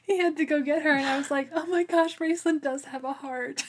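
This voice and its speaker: A woman's voice: sits high, fairly even intonation, a little fast. A woman co-hosting a podcast, talking over a book informally with loose, trailing phrasing. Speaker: podcast co-host. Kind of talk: casual book discussion chat.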